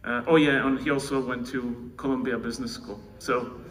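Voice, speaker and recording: A man's voice: mid-range voice, fairly even intonation, normal speed, a bit bright, distant mic. A man from the Republic, giving a conversational keynote introduction at a conference, with restarts and asides to the audience. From Czech Republic. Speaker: keynote presenter introducing his co-founder. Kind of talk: live conference speech. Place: large conference room. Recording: conference room, speaker away from the mic, faint room noise.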